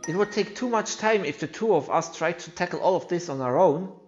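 A man's voice: high voice, expressive, fairly quick, dull audio. A non-native English-speaking man voicing a small, thoughtful, anxious electric-mouse hero in a video game. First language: not English. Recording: game music behind the voice.

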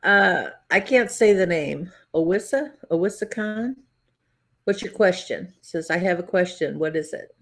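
A middle-aged woman co-hosts a home livestream, reading viewer comments aloud and tossing in wry jokes. Her voice sits low for a woman, and her delivery stays relaxed and conversational. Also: measured pace. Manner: reads chat comments aloud, wry and laughing. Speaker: podcast co-host. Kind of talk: casual livestream chat. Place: home room.

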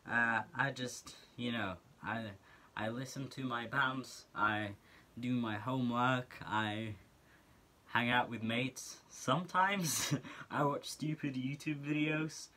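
Bright-voiced Norwegian teenage guy. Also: mid-range voice, steady tone, takes it slowly. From Norway. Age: teens. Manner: earnest, chatty, many filler words. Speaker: vlogger. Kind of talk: casual talk to camera. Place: small bedroom indoors.

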